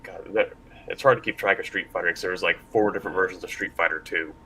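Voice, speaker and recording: A man's voice: sits low, steady tone, fast, bright, forceful tone, slight background noise, poor audio. A man hosting a casual panel discussion, talking informally before a live room. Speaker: panel host.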